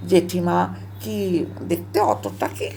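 A woman tells a personal story in an emotional, dramatic monologue, halting and repeating phrases for emphasis and dropping in English words. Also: lowish voice, sing-song, gravelly, warm tone, slight background noise. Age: 70s. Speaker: storyteller recalling own past.

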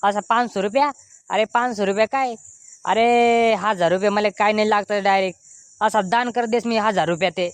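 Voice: mid-range voice, moderate pace, clear, resonant tone, dry recording, natural voice. A performer voicing a boastful, defensive village man in a comedy cartoon, with a Khandeshi Ahirani accent.

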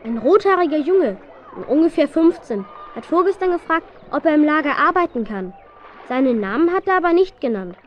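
High-voiced helpful camp youngster. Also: female voice, lively intonation, fairly quick, slight background noise. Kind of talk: 1970s German children's radio play acting. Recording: dull, muffled old audio drama recording.

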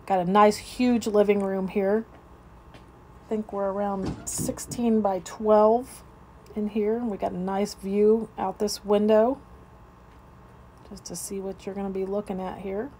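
A woman's voice: medium pitch, level tone, a little slow, warm tone, a little hiss or hum, poor audio. A woman real estate agent narrating an informal, unscripted walkthrough of a home, describing each room as she moves through it. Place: indoors, moving through rooms of a house.

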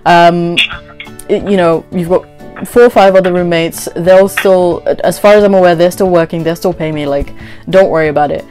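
Young woman telling stories, lowish voice. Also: moderate pace, faint music behind. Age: 20s. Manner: animated retelling with quoted dialogue and frequent "like". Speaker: vlogger. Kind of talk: casual storytelling chat. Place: small bedroom, indoors.

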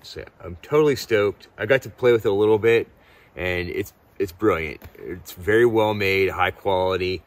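Low-voiced man, casual outdoor gear reviewer. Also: normal speed. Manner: laid-back, informal, enthusiastic slang. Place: outdoors in snowy woods.

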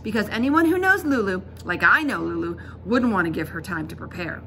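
A middle-aged woman reading a children's book aloud as a teacher, expressive, emphatic and instructive. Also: medium pitch, a little fast. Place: indoors in a school classroom. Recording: classroom recording with background noise.

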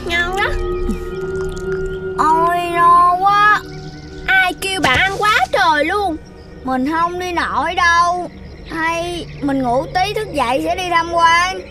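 A little childlike cartoon animal, excitable and wishful, in an extremely high voice. It sounds set back from the mic, with loud music underneath. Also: female voice, slow, recorded from a distance. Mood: whiny, sleepy.

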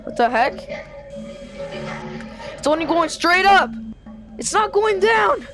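An excitable, melodramatic young-sounding gamer improvising a zombie apocalypse roleplay over game sounds. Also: extremely high pitch, slow, soft background music. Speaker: gaming video creator playing a roleplay character.

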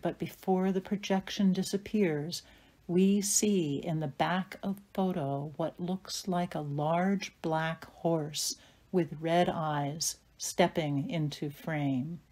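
A woman's voice: lowish voice, dark tone. A woman giving scripted narration, reading out stage directions for an audio play.

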